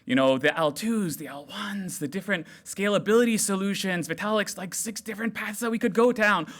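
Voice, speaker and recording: Loud, animated man on stage. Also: high-pitched, a little fast. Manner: loud, animated, jokey with the crowd. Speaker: developer relations speaker. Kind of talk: energetic live tech talk. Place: large room at a hackathon event.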